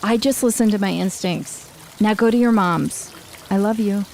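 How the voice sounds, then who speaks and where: A woman's voice: mid-range voice, normal speed, gravelly, darkish tone, a little hiss or hum, close to an everyday voice, rough recording. A woman playing a decisive, urgent survivalist neighborhood leader who gives orders in a radio drama. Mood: earnest.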